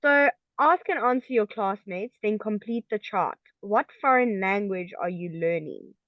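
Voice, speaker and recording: A woman's voice: medium pitch, measured pace, no echo, muffled recording. A woman tutoring English online, patiently checking her student's understanding with frequent okays.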